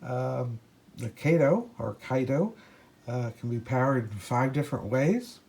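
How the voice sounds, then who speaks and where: A man with a mid-pitched voice talks through a gadget review in a casual, unscripted way. His delivery is hesitant and halting, like a hobbyist explaining gear to viewers. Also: expressive, creaky, a little mellow. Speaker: product reviewer and ham radio hobbyist. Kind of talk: casual product review to camera.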